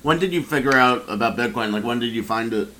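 Quick, bright-voiced male interviewer. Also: medium pitch, lively intonation, slight background noise, low-quality recording. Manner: brief, prompting questions. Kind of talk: casual interview questions.

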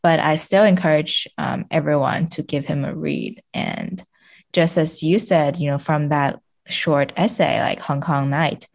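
A woman's voice: sits low, steady tone, measured pace, creaky. A woman speaking as a podcast guest in a casual literary discussion, feeling hesitantly for her words.

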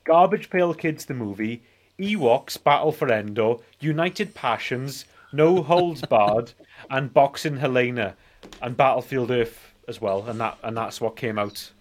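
A man's voice: mid-range voice, measured pace. A man in his forties rambling through casual film banter as a podcast co-host. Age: 40s.

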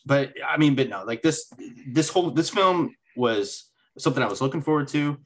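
A man's voice: medium pitch, animated, moderate pace. A man co-hosting a podcast in casual chat, rambling and restarting his sentences, emphatic about his opinions. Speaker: podcast co-host.

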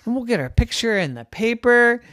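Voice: medium pitch, takes it slowly, dry recording, clean studio sound. A storyteller voicing an adventurous young girl explorer who jumps from boredom to thrilled squeals. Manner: squealing, breathless excitement.

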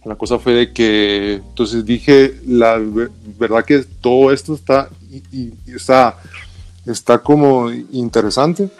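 A Guatemalan man talks as an interview guest in a casual, colloquial storytelling style, dropping in little tag words. His voice is mid-pitched, with faint music playing behind it. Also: steady tone, unhurried, darkish tone. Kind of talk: casual chat, personal anecdotes.

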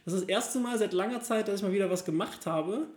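Male podcast co-host, quick and high-voiced, casual chat, echoey room. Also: a little mellow. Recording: roomy echoing room sound.

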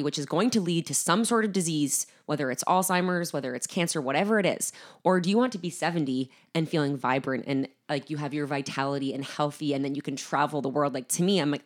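Fast, emphatic woman with a low voice. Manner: enthusiastic, rapid and emphatic. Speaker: podcast co-host. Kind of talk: casual podcast chat.

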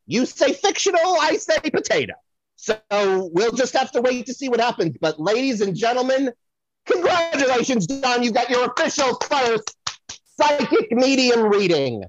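A woman's voice: on the high side, big pitch swings, moderate pace, background noise. A woman playing a brash, foul-mouthed, rambling celebrity psychic in a comedic podcast audio play. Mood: upbeat, smug. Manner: theatrical, digressive, addressing the host by name. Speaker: guest playing a comic psychic. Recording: dull lo-fi dry audio.

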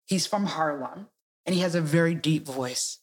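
Woman host, husky tone, animated casual storyteller. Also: low-pitched, moderate pace. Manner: animated storyteller, slips into a tough street voice when quoting. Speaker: podcast host. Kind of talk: casual conversation.

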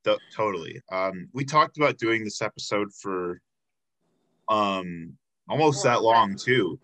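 Low-pitched young guy host, relaxed and loose, drops fillers and the odd curse word. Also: a little slow. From Chicago south suburbs, USA. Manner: laid-back, many fillers, swears casually. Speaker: podcast host. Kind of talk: casual interview chat.

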